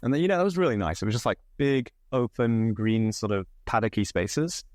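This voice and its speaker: A man in his thirties with an English accent, slightly northern, telling stories from his life as a podcast guest, relaxed with lots of fillers. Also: mid-range voice, fairly quick, dry recording, studio quality. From England. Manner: relaxed, many fillers, laughs often. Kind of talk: candid conversational storytelling.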